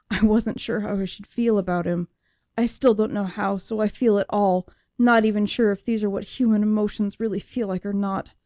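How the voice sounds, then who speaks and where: Woman as a mellow-voiced, anguished young android. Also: mid-range voice, no room sound, studio quality, close to an everyday voice. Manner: emotive, deliberate. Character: young female android with human memories, anguished, searching for herself. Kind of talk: dramatic first-person audiobook narration.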